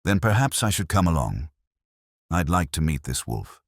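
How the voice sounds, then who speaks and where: A man voicing a cold, smooth, menacing suspect who is politely threatening, in an audiobook mystery. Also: very deep voice, very animated, moderate pace, dry recording, studio quality, exaggerated voice. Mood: cool menace.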